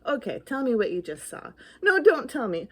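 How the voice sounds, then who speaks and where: A woman's voice: sits high, very animated, normal speed, a bit bright, exaggerated voice. A woman voicing a jumpy, bluffing little ghost in a children's picture book, theatrical and full of anxious questions. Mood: indignant, pleading.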